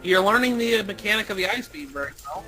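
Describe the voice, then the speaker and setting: A man's voice: high voice, expressive, fast, pushed and bright, faint music behind, poor audio. A man co-commentating on a video game in casual chat, explaining how the game works. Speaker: let's play co-commentator.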